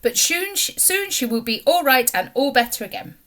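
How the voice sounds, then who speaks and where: A hearty male Russian sea captain, put on by a woman reading a storybook aloud, rolling out grand broken English in a thick put-on Russian accent. His voice sits bright and lively, swinging up and down with every proud phrase. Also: sits high, normal speed.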